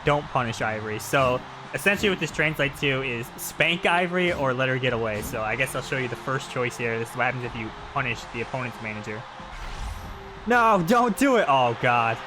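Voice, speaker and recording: A man's voice: medium pitch, expressive, brisk. Guy doing casual live game commentary, regular mid voice, game music going behind him. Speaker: gaming video commentator.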